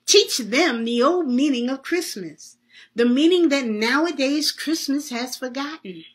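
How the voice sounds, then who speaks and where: Woman reading as slow, solemn, earnest Santa Claus. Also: high voice, expressive. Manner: slow, emphatic, preacherly.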